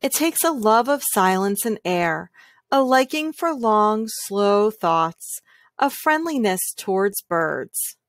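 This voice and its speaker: Woman, medium pitch, calm storyteller, children's book read-aloud, gentle measured phrasing, dry recording. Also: dry recording.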